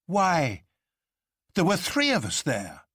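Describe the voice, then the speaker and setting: Storybook hero, young man played by a male reader: reckless, boisterous, declamatory, pitched up with big swoops. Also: huge pitch swings, slow pace, fairly bright tone, breathy edge, dry recording, full character voice. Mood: impatient excitement. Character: excitable, reckless young man sworn to defy fear. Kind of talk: dramatic storybook reading.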